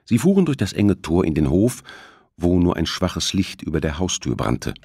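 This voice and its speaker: Extremely deep, gravelly male narrator. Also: very animated, moderate pace, darkish tone, no echo. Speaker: audiobook narrator. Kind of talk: scripted suspense novel narration. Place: close, dry studio recording.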